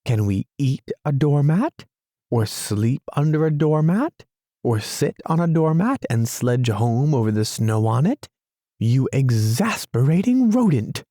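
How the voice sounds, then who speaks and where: A man's voice: mid-range voice, sing-song, a little slow, mellow, dry recording, studio quality, voice pushed up. A man voicing a fussy, literal-minded male mole in a storybook reading, with a prim, pettish manner. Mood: exasperated.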